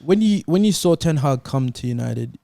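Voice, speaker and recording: A man's voice: a little high, expressive, normal speed, creaky, mellow, dry recording. A man in a casual, insistent sports debate, arguing his point as a talk show panelist. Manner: argumentative, insistent.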